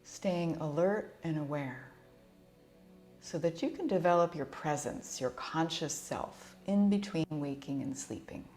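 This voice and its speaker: Low-pitched woman guiding a relaxation meditation. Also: faint music behind. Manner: soothing, gentle, unhurried instruction. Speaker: yoga nidra instructor.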